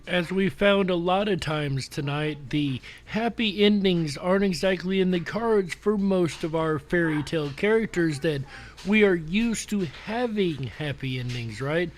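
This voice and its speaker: Higher-pitched male show host over music. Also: measured pace. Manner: conversational showman addressing listeners directly. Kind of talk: casual live show commentary to audience. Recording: music playing behind the voice. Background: background music.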